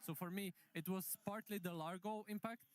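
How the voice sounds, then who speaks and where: Man breaking down plays, slightly high voice. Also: moderate pace. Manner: steady, methodical play-by-play breakdown. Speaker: esports analyst. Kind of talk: live esports analysis desk.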